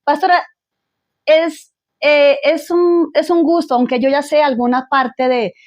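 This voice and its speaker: Female pastor host, high voice, effusive, halting restarts. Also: steady tone. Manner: effusive, restarts and repeats words. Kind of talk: church talk show interview.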